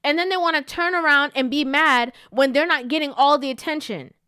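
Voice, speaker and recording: This woman's voice sits somewhat high and sounds blocked up, with a sniffly, stuffed nose. She delivers blunt, emphatic opinions in a commentary vlog and keeps tossing in "okay?". The recording is dry and close, with no room around it. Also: a little fast, bright, forceful tone, dry recording. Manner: emphatic, frank, checks in with "okay?". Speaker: commentary vlogger.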